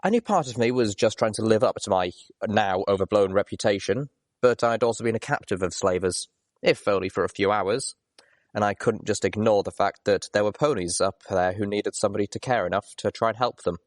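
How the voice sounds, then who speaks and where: A man's voice: fairly low pitch, a little fast, no room sound, studio quality. A man reading first-person story narration as an audiobook narrator. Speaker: audiobook reader.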